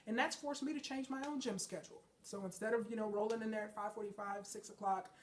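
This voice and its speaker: A woman's voice: mid-range voice, fairly even intonation, fast, recorded from a distance, slight background noise. A woman fitness trainer giving an emphatic motivational talk, congested from a chest and sinus infection, often checking in with her listeners. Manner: emphatic, preachy, keeps checking in with "okay?" and "folks".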